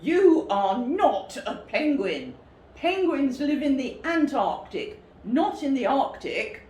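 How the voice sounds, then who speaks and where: A woman's voice: high-pitched, very animated, slow, darkish tone, voice pushed up, exaggerated voice. A woman voicing an indignant, furious male television presenter in a storybook, scolding emphatically. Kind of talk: storybook character voice. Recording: roomy, distant mic, faint noise.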